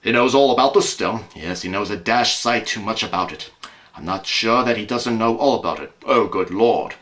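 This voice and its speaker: A man's voice: lowish voice, moderate pace, fairly bright tone, close to an everyday voice. A man playing a scheming villainous colonel in an audio drama, conspiratorial, clipped and impatient. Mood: worried. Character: scheming, tense villainous colonel, leader of crooks. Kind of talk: amateur audio drama acting.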